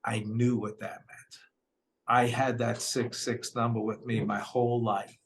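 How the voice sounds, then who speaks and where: A man's voice: level tone, unhurried. An older man with a New York accent earnestly telling his own story, often quoting what others said to him. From Brooklyn, New York. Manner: earnest, retells dialogue in quotes, voice drops low and quiet at hard moments. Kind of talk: personal storytelling.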